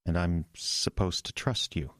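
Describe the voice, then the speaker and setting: An earnest young small-town pastor in a radio play , acted by a man. His voice is quite deep and closely recorded with no room sound, and he speaks in a measured, tense way. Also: fairly bright tone, no room sound, studio quality. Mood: firm, suspicious. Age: young adult. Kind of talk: audio drama acting.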